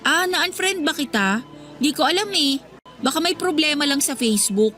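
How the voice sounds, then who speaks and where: Snippy young Filipino cashier, high-pitched. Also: female voice, expressive, normal speed, sharp tone, soft background music. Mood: feigned indifference. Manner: evasive, snippy, sarcastic. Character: defensive young female supermarket cashier avoiding her crush. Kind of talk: Filipino radio drama acting.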